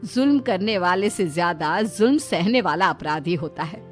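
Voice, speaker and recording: A woman's voice: lowish voice, lively intonation, moderate pace, faint music behind, natural voice. A woman voicing an elderly, teasing and sly village mother-in-law in a cartoon moral story. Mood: advising.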